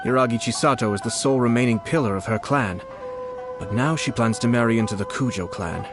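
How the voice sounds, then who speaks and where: An actor playing a composed, polite young nobleman in a video game, shrewd and quietly mischievous, speaking in measured phrases. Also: medium pitch, music in the background, natural voice. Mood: serious, thoughtful. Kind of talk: video game character acting.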